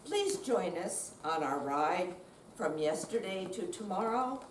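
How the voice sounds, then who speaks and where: A woman's voice: on the low side, lively intonation, slow. Older lady reading for a stage show, far from mic, echoey, faint noise. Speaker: amateur readers theater performer. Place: room at a senior center.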